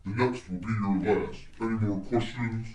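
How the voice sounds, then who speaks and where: A man acts out a hulking, menacing villain of a radio play. His dark, lowish voice intones pompous rhymed threats. It sounds distant from the mic in an echoing space. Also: steady tone, slight background noise, close to an everyday voice. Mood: stern threat. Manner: slow, pompous, chanting in rhyme. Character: menacing male captor speaking in riddling rhymes, Bigfoot-like villain. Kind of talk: radio drama villain acting.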